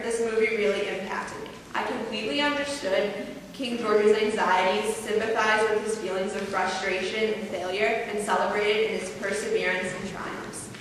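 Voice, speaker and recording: A woman's voice: medium pitch, a bit bright, a little hiss or hum. A teenage girl with a mild stutter gives a formal prepared speech to an audience. She speaks carefully and sometimes repeats a word. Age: teens. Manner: formal, carefully paced, occasional repeated words. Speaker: student speaker. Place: large hall, heard from far off. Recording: roomy hall echo from a distant mic.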